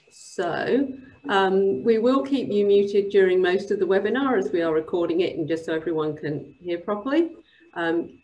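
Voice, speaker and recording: A woman's voice: lowish voice, darkish tone, poor audio. A woman hosting an online webinar, giving an informal introduction and housekeeping over a video call. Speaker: webinar host.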